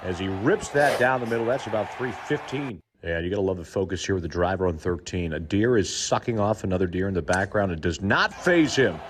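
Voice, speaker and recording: A man with a fairly deep voice delivers deadpan sports commentary in the manner of a golf broadcast. His delivery is polished and straight-faced, heard on a clip with clear background noise. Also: brisk, few pauses. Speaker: parody sports narrator. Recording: noisy played video clip.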